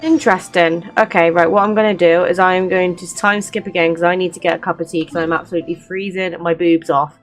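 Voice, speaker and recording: A woman's voice: fairly low pitch, little pitch movement, fast talker, hardly any pauses, faint music behind, low-quality recording. A woman hosting a gaming playthrough, commenting casually in an informal, chatty way. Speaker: gaming channel host. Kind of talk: casual let's play commentary.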